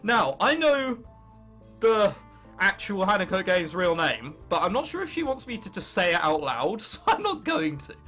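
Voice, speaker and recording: Animated, high-pitched young English man. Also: moderate pace, faint music behind. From England. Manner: sarcastic, self-mocking bravado, casual swearing. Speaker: gaming video host. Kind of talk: casual playthrough commentary, reading game text aloud.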